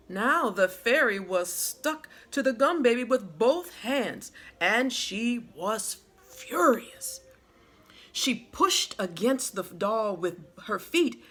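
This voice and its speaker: Female storyteller for children: unhurried, highly varied intonation, deliberate dramatic phrasing, teacherly read-aloud. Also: fairly bright tone.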